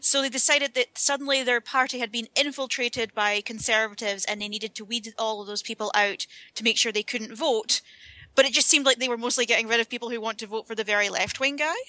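A woman's voice: fairly high pitch, steady tone, fairly quick, pushed and bright, no echo, poor audio. A Scottish woman explaining at length in a casual podcast chat, with a wry manner. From United Kingdom.

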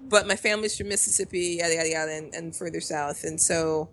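A woman's voice: low voice, steady tone, fast, a bit bright, low-quality recording. A woman with a Chicago accent, co-hosting a casual podcast chat, talking freely and trailing off between thoughts. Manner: chatty, trailing off and restarting. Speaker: podcast co-host.

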